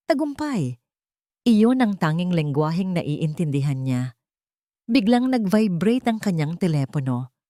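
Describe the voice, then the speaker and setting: A woman with a Filipino accent narrating a scripted audio drama in a deliberate, dramatic way, phrase by phrase. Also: fairly low pitch, mellow, no room sound, clean studio sound. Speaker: storyteller.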